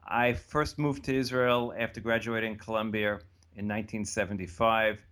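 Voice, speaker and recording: An American man around seventy, answering interview questions in a relaxed, thoughtful way that wanders and restarts. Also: fairly low pitch. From United States. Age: about 70. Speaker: interview guest. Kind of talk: casual conversational interview.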